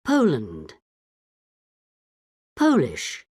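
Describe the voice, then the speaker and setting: A woman with a low, husky voice reads vocabulary words one at a time, very slowly. Each word is drawn out carefully, and her pitch sweeps widely. The sound is dry and close. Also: wildly swooping pitch, a little mellow, dry recording. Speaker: vocabulary reader for a language lesson. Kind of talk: reading word lists slowly.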